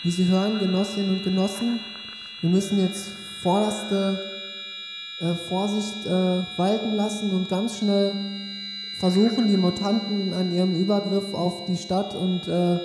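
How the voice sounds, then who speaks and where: Flustered group leader acted in an amateur radio play, halting earnest speeches, echoing hall, music behind. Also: mid-range voice, little pitch movement, close to an everyday voice. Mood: alarmed, flustered. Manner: halting, stumbling speech-making.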